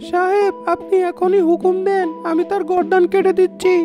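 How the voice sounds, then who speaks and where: A woman's voice: very high voice, flat delivery, moderate pace, over music. A woman voicing an obsequious, deferential landlord's steward in a cartoon. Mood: menacing. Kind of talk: cartoon dubbing.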